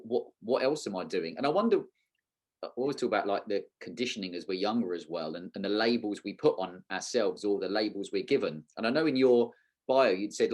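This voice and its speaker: A man hosting a conversational interview podcast, thinking aloud in a reflective, tentative way. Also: on the low side. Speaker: podcast host.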